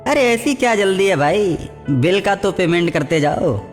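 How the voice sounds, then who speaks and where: Cartoon horror bully, young male played by a man: high, animated, falsely friendly and pushy. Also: moderate pace. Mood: pushy, menacing. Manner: coaxing, pushy. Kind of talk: Hindi cartoon horror story dialogue. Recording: long hall reverb, music behind.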